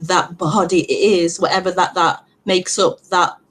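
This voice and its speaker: Slow-spoken woman with a stutter. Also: fairly low pitch, level tone, slightly rough. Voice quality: stutter with frequent repetitions and blocks. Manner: gentle, reflective, laughs lightly at herself. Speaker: non-duality teacher hosting an online meeting. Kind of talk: spiritual talk. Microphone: online call broadcast.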